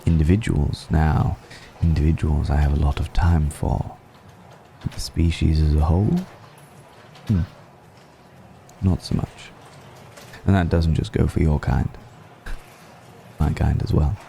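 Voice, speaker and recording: A man playing a suave, ancient aristocratic vampire in an intimate ASMR roleplay, musing slowly and deliberately with long pauses. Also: fairly even intonation, dark tone, slight background noise, heavily acted. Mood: musing, aloof. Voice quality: very deep, gravelly.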